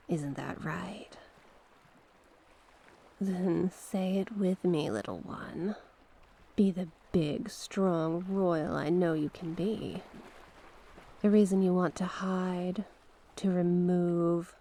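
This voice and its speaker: A woman playing a mysterious banished sea witch in an ASMR roleplay, coaxing and knowing, with a teasing, deliberate manner. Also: lowish voice, a little mellow. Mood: gentle, persuasive. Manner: slow, teasing, deliberate, coaxing with pet names. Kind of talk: ASMR character roleplay monologue.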